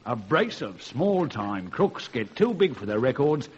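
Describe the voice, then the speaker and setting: A dull, hissy old radio crime play. a ruthless British master thief, cold and scornful. His delivery is commanding and articulate, swinging widely in pitch. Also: big pitch swings, measured pace. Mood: menacing, gloating. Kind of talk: vintage radio crime drama acting.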